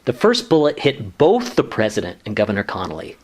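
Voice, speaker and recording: Actor as exasperated male bureaucrat, earnest, flustered, wide swings in pitch, sitcom radio play. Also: wildly swooping pitch, fairly quick, slightly rough, full character voice. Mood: insistent, confident. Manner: exasperated, protesting. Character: flustered, earnest government commission staffer and husband, eager to rationalize.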